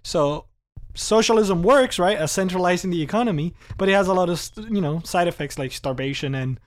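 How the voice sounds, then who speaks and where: A man's voice: high voice, fairly quick. A man in his twenties who grew up in Cuba, talking casually and animatedly, often laughing and restarting his sentences. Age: 20s. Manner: animated, laughs often, repeats words when starting sentences. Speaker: podcast guest. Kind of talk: casual conversation.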